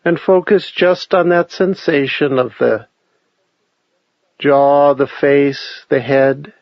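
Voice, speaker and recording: Man, slightly high-pitched, very unhurried meditation guide, measured phrases, long pauses, dry dull recording. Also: steady tone, dry recording. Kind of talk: guided meditation, calm instruction.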